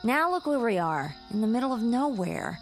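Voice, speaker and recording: An actress playing a tipsy young New York wife in a radio drama, placating and easily awed. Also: female voice, on the high side, very animated, normal speed, soft background music. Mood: flustered.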